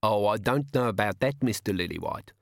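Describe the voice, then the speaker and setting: A man's voice: on the low side, expressive, a little fast, vocal fry, dry recording, clean studio sound. A man voicing a neat, fussy, careful middle-aged thief with a precise, prim voice.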